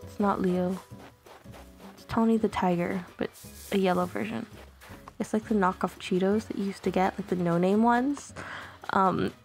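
Chatty woman with a gravelly edge. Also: mid-range voice, steady tone, moderate pace, pauses often, soft background music. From Canada. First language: Tagalog. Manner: chatty, rambling, self-conscious laughs. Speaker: gaming YouTuber. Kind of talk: casual gameplay commentary.

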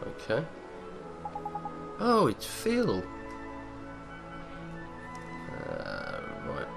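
A man with a lowish voice chats through a gameplay session, tossing out jokey asides. Game music plays clearly behind him throughout. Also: wildly swooping pitch, drawn-out words. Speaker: let's-play host. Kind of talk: casual gameplay commentary.

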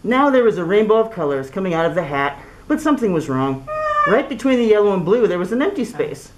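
Animated middle-aged woman reading a storybook. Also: medium pitch, fast, noisy background. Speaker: children's story reader. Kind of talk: read-aloud storybook narration. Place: small indoor classroom. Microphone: camera a few feet away, speaking through cloth mask.

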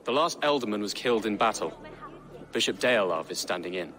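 A man's voice: on the low side, animated, fairly quick, a crowd in the background, close to an everyday voice. An actor playing a polite, hesitant young nobleman. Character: nervous earnest young noble man.

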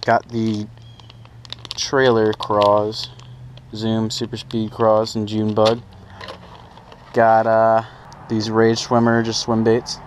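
A man's voice: on the low side, completely flat delivery, slow pace, long pauses. A young man chatting casually and informally as he vlogs about fishing, recorded outdoors on an action camera. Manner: informal, slangy. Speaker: fishing vlogger. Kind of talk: casual first-person vlog chat. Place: outdoors, at a car trunk and on a small pond boat. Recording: clearly noisy outdoor action-camera audio.

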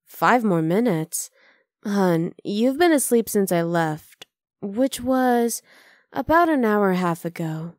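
A woman's voice: mid-range voice, lively intonation, slow pace, no room sound. Close, dry, teasing half-dragon girlfriend voiced by a woman. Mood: coaxing, teasing. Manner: casual, coaxing, affectionate teasing. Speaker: ASMR roleplay voice actor. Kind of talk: ASMR roleplay acting.

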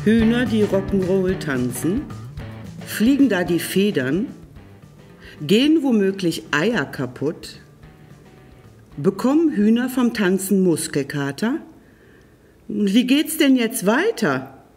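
A storyteller reading a children's tale aloud expressively and deliberately, addressing young listeners directly. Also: mid-range voice, big pitch swings, darkish tone, slightly rough, soft background music.

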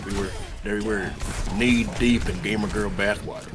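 A man's voice: on the low side, fairly even intonation, moderate pace. A young man in casual game chat with friends, playful and jokey. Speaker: gamer in voice chat.

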